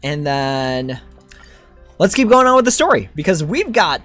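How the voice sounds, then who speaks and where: A woman's voice: low voice, very animated, a little slow, fairly bright tone, no room sound, soft background music. A woman giving casual gameplay commentary as a streamer, thinking aloud in an informal way. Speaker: game streamer.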